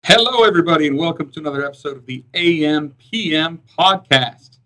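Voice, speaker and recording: Upbeat bright-voiced male podcast host. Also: on the high side, brisk, rough recording. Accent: Alabamian. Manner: upbeat, rambling with fillers. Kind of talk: casual interview chat.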